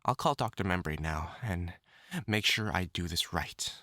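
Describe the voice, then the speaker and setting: A man's voice: sits low, normal speed, bright, forceful tone, no echo, studio quality. A man playing an obsessive, lovesick furred supervillain in a dramatic audio roleplay, speaking intimately to the listener with theatrical endearments. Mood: resigned, menacing tenderness. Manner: pleading, stammering, theatrical endearments. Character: obsessive lovesick male supervillain with fur, desperate and menacing.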